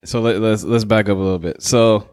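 A man's voice: fairly low pitch, fairly even intonation, a little fast, a little mellow, clean tone. An American man hosting a podcast in casual, slangy interview chat. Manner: informal, slangy, swearing. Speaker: podcast host.

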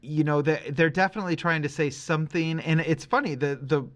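A middle-aged man co-hosting a podcast, talking casually and reflectively, working through his thoughts haltingly with qualifications. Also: on the high side. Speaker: podcast co-host.

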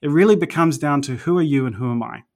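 A man's voice: a little high, quick pace, gravelly. New Zealand man telling long stories. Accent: New Zealand, partly American-sounding. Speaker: church planter guest. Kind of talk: casual interview, long storytelling.

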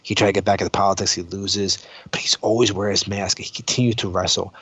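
A man's voice: sits low, flat delivery, fast, fairly bright tone, slightly rough, no echo. A man co-hosting a podcast, chatting informally in a rambling, sweary storytelling way. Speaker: podcast co-host. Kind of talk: casual chat, storytelling.

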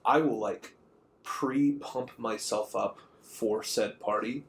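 Man, around thirty, podcast co-host, casual, rambling, medium pitch. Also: takes it slowly. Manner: rambling, conversational. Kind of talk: casual chat.

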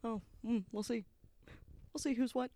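A woman co-hosting a comedy podcast, giggly and wry in quick banter. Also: fairly high pitch, fairly even intonation, mellow, a little hiss or hum. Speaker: podcast co-host. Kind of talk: casual comedic chat.

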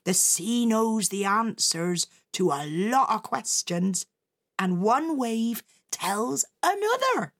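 A bold old pirate mother, put on by a woman who reads a children's story. She shouts and whoops in a high, lively voice, full of swagger. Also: slow pace, exaggerated voice. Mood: dreamy.

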